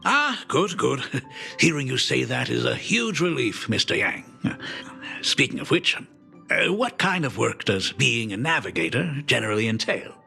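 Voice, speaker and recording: A man's voice: medium pitch, big pitch swings, moderate pace, creaky, pushed and bright, faint music behind, exaggerated voice. An actor playing a middle-aged doting father in a video game, self-deprecating, polite and hesitant in an emotive way. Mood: relieved, proud.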